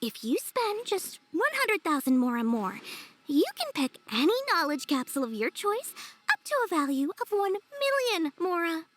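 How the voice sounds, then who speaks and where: A woman's voice: very high voice, sing-song, moderate pace, fairly bright tone, heavily acted. A woman voicing a small, sly, greedy merchant girl in a video game, gushing with theatrical, overly courteous sales patter. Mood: gushing, wheedling. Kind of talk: video game cutscene acting.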